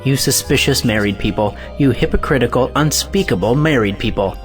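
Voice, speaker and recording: A man's voice: mid-range voice, lively intonation, fairly quick, faint music behind, close to an everyday voice. A man playing an indignant, idealistic scientist in an audio play, with a declamatory, scornful manner. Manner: declamatory, rhetorical questioning.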